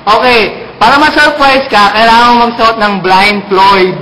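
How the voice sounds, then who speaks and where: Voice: mid-range voice, moderate pace, natural voice. A performer with a Filipino accent voicing a jokey puppet in a playful, casual greeting, teasing and repeating punchlines to explain them. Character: jokey puppet sending mangled-word greetings to a friend. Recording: roomy echo, faint noise.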